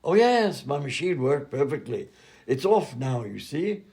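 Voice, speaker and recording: A man's voice: mid-range voice, very animated, measured pace, darkish tone. A man playing an absent-minded, fussy and polite mad doctor and inventor in a comedy radio play. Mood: breezy, fussy.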